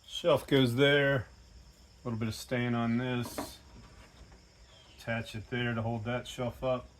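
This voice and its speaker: A man's voice: mid-range voice, little pitch movement, a little slow, noisy background. A man in his fifties hosting a casual DIY vlog, talking informally and thinking aloud as he works. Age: 50s. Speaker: DIY host.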